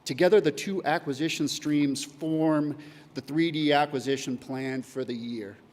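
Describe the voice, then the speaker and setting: A man's voice: fairly high pitch, normal speed, darkish tone, long reverb tail. A man giving a prepared conference presentation as a coordinator, reading steadily with occasional fillers. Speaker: data acquisition coordinator. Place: conference hall.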